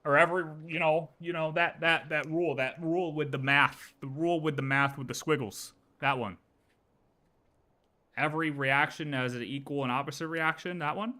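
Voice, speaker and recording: A man's voice: a little high, fairly even intonation, normal speed, bright, forceful tone. A man chatting casually as a game livestreamer, emphatic and slangy, often repeating his phrases.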